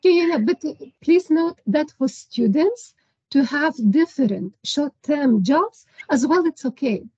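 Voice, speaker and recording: Brief: breathy, higher woman, career advisor, upbeat and encouraging, lo-fi video call. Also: expressive, measured pace, warm tone, dry recording. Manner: encouraging, gives advice with light laughs. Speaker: seminar presenter, career advisor. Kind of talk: online seminar Q&A.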